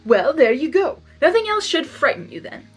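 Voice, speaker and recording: A woman acts out a cocky male monster of chaos in a storybook reading, swooping about in pitch. He is theatrical, breezy and teasing, with emphatic delivery over music. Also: sing-song, moderate pace. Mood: boastful.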